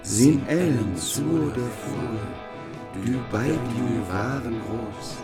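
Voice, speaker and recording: Slow, chanting man reciting old verse. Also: medium pitch. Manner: rhythmic, chanting. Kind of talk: reciting Middle High German verse. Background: loud music.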